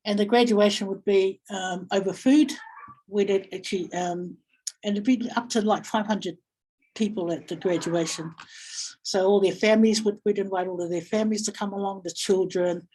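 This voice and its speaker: A woman giving a reflective talk as a guest speaker, telling stories and thinking aloud with halting, repeated phrases. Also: mid-range voice, steady tone, dark tone, poor audio. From Samoa or Samoan community in New Zealand. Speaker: guest speaker, family violence practitioner. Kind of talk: reflective webinar talk, storytelling.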